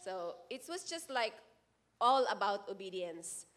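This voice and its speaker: A woman's voice: medium pitch, big pitch swings, a bit bright. Earnest woman giving a church testimony. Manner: earnest, halting, with frequent restarts. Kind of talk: spoken testimony at a church service.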